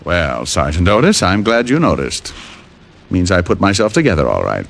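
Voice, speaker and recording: An American actor playing a wisecracking, tough private detective in an old-time radio drama, quipping in a wry, deadpan way as he narrates and trades lines. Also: sits low, moderate pace, gravelly, full character voice. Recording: old radio recording with music.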